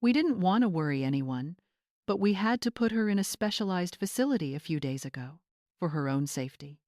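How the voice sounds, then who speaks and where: A woman's voice: fairly low pitch, expressive, fairly quick, darkish tone, dry recording, studio quality, lightly acted. A woman voicing a scheming, imperious bride in an audiobook, theatrical and syrupy with a snappish edge. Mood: falsely sorrowful. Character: scheming social-climbing bride, fake-sweet and imperious.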